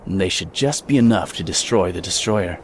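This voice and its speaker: Man as a low-voiced, grim lone gunman. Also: lively intonation, brisk, slight background noise. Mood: solemn, explaining. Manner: solemn, determined. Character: grim, resolute lone gunman, husband and father. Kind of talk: old-school radio drama acting.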